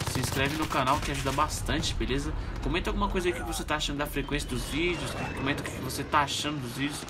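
A man's voice: mid-range voice, heavy background noise. Stuffy-nosed Brazilian gamer guy. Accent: Brazilian Portuguese. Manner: relaxed slangy chatter, talks to viewers. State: stuffy nasal voice from a cold. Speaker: gaming channel host. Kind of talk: casual gameplay commentary. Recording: loud game gunfire over the voice.

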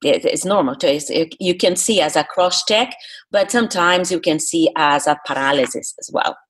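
A middle-aged Brazilian woman speaks in a fairly low, husky voice with a Portuguese-speaker's accent. She talks as a podcast guest, recounting experiences conversationally and explaining her points with emphasis. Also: moderate pace. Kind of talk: conversational interview storytelling.

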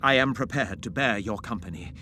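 A man's voice: on the low side, a little fast, sharp tone. An actor playing an anxious, repentant old miser in an audio drama, earnest and formal as he pleads. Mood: solemn, fearful. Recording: noisy ambience behind the voice.

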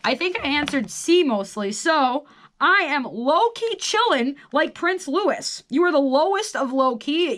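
An American woman in her twenties chatting casually and reading a quiz aloud, with wry, self-deprecating asides. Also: high-pitched. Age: 20s. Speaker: host of a solo quiz video. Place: small radio studio.

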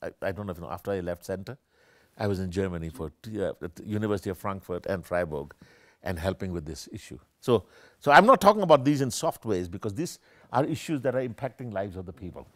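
Animated older man lecturing. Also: low voice, quick pace, dry recording, studio quality. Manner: animated, gesturing, discursive with self-corrections. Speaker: keynote speaker, professor. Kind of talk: academic lecture. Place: small room with armchairs, indoors.

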